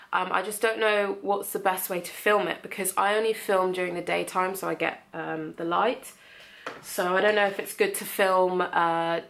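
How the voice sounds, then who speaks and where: A woman's voice: mid-range voice, creaky, a bit bright. Young woman, beauty vlogger, mild cold, nasal, casual tutorial chat. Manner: chatty, friendly, lots of ums. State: has a cold, slightly nasal.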